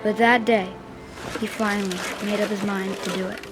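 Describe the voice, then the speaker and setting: A woman's voice: mid-range voice, level tone, normal speed, lightly acted. A woman playing a solemn young boy in a game, telling of his brother in a plain, reflective way. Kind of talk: scripted storytelling narration. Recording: music behind the voice. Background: prominent music.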